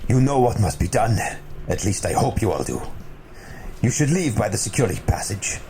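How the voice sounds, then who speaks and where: A young man acts out a stern male commander of a besieged refuge, barking formal, clipped orders to his squad in a homemade radio play. His pitch rises and falls a lot as he speaks, and a faint hiss sits under his voice. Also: very animated, normal speed. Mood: commanding. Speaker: commanding officer. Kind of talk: amateur radio drama acting.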